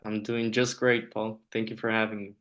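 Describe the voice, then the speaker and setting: Low-voiced Honduran man, podcast guest. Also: flat delivery, moderate pace, a bit bright, highs cut off. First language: Spanish. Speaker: podcast guest, nuclear trainer. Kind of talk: conversational interview answers.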